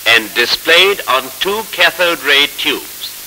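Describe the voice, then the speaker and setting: A man narrating a 1940s instructional film in a formal, measured, explanatory manner, on a scratchy old film soundtrack. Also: fairly high pitch, expressive, creaky, sharp tone.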